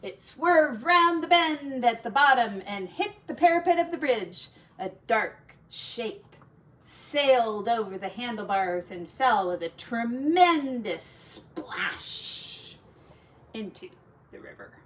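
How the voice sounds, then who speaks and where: A woman's voice: a little high, expressive, slow, fairly bright tone. A woman who teaches, reading a picture book aloud to children expressively, drawing out key words for drama and adding casual asides.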